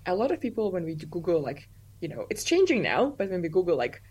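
A young woman speaks in a lowish, relaxed voice. She talks casually as an interview guest, loose and chatty, leaning on "like" and laughing easily. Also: fast, husky. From Russia. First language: Russian.

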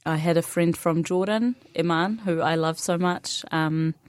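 Palestinian woman, native arabic speaker, young adult, low voice, soft-spoken, many pauses, dry studio sound. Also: no room sound. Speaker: interview guest. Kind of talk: radio interview conversation.

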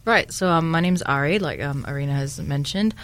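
A woman's voice: low voice, a little fast. A woman in her twenties from Samoa, a medical student interviewed as a guest, thoughtful and hesitant with many ums. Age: 20s. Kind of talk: casual interview.

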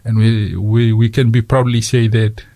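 A man with a Fijian accent hosting a community radio chat, halting with many fillers and slipping into Fijian phrases. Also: on the low side, level tone, dark tone, no room sound, clean studio sound. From Fiji. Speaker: radio host.

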